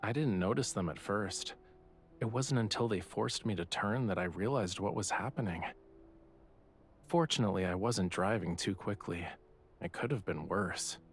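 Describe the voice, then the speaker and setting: Man acting low-voiced, softly teasing young artist. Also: normal speed, slight background noise, close to an everyday voice. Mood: reflective, subdued. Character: young male artist, teasing, self-assured yet quietly vulnerable. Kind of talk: romance game voice acting.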